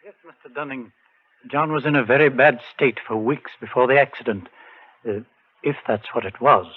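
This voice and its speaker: Male actor as grieving reserved gentleman, medium pitch, measured and formal, understated, 1940s radio drama, tinny broadcast sound. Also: husky, natural voice. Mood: grave, measured. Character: sober, troubled bereaved brother, a reserved gentleman.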